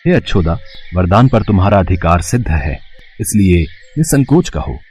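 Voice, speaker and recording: A man's voice: sits low, very animated, normal speed, darkish tone, slight background noise. A man playing a stern yet benevolent divine forefather spirit in a devotional cartoon story, speaking in formal, solemn pronouncements. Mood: solemn, granting.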